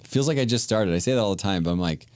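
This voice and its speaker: Easygoing man in his thirties, low voice. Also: animated, very fast, darkish tone, no echo. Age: 30s. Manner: joking, easygoing. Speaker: co-host, physician assistant. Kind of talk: casual podcast chat.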